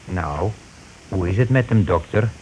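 An actor in a radio play playing an evasive, scheming husband who lets rooms and talks in an offhand, colloquial, bossy way. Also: male voice, deep voice, lively intonation, a little slow, darkish tone. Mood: feigned concern. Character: evasive, scheming husband, a brusque working-class lodging keeper. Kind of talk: naturalistic radio play acting. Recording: noisy old radio play recording.

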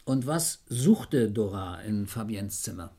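An actor playing a confident subordinate detective in a German radio crime drama, matter-of-fact and keen to argue. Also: male voice, on the low side, animated, slow, warm tone, slight background noise. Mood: eager, matter-of-fact. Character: eager, confident male police detective, subordinate.

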